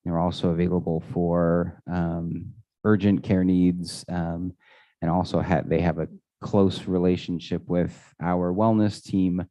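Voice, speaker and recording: A man's voice: low voice, level tone, unhurried, mellow, dry recording. A man answering residents' questions at a community meeting, hesitant and full of fillers and restarts. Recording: dull, dry lo-fi audio.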